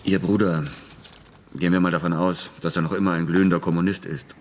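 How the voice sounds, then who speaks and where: An actor playing a cynical, flippant American war veteran in a German radio crime drama, speaking in a laconic, matter-of-fact way. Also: male voice, low-pitched, little pitch movement, fairly quick, slight background noise, lightly acted. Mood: matter-of-fact, persuasive.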